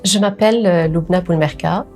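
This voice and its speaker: A middle-aged woman with an Algerian accent giving personal testimony in a hesitant way, with frequent pauses. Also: fairly low pitch, darkish tone, faint music behind. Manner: hesitant, pausing often. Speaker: documentary interviewee, sales assistant.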